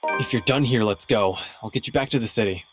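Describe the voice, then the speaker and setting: A man's voice: mid-range voice, fairly quick, over music, close to an everyday voice. An actor playing a brusque, awkward man in a horror video game, speaking in a blunt, terse manner. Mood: terse, defensive.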